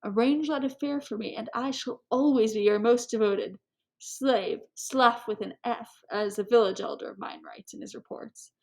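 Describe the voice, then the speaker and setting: A woman's voice: a little high, normal speed, creaky. Woman acting world-weary, cynical aristocratic prince. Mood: cajoling.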